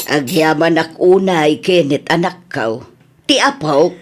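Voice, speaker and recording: A woman with an Ilocano Filipino accent playing a gentle, resigned older mother in a radio drama. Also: sits low, measured pace.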